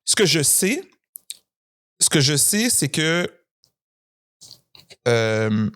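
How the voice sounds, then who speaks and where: A man, a podcast guest and author, speaking in a thoughtful, measured way with frequent hesitations. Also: on the high side, sing-song, a bit bright, clear, resonant tone. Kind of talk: casual conversation.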